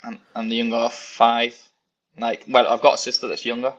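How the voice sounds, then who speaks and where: A man's voice: medium pitch, completely flat delivery, a little fast, a bit bright. A man from Spain whose first language is Valencian, talking as a guest over a phone call, hesitant and searching for English words. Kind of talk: casual interview over a call. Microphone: phone on a video call. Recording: dull lo-fi call audio.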